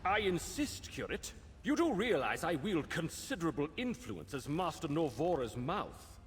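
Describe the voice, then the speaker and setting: Self-important court mouthpiece, officious, highish voice, animated swings, game dialogue with hall reverb. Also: male voice, moderate pace, breathy edge, full character voice. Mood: pushy. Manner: pompous, officious. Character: pompous, self-important male wizard's spokesman and aspiring author. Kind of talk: video game voice acting. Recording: long hall reverb, faint music.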